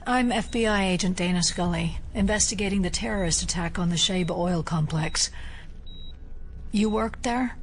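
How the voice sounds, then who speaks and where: American female FBI investigator, acted, composed and concerned, gravelly fry, faint background noise. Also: lowish voice, moderate pace, lightly acted. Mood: concerned, probing. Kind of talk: audio drama acting.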